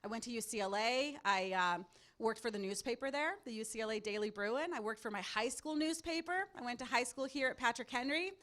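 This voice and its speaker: A woman in her forties, from Mexico, moderating a panel and addressing a student audience into a microphone in a loud, upbeat, confident voice. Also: on the high side, a little fast. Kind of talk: public speaking to a student audience. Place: event hall at a panel table. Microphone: handheld or table microphone.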